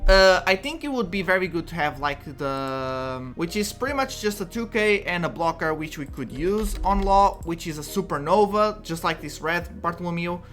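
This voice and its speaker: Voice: medium pitch, lively intonation, moderate pace, hardly any pauses, a bit bright, faint music behind. A content creator with a Portuguese accent, explaining a card game strategy in a casual, chatty way and often restarting sentences. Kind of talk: casual explainer talk to camera.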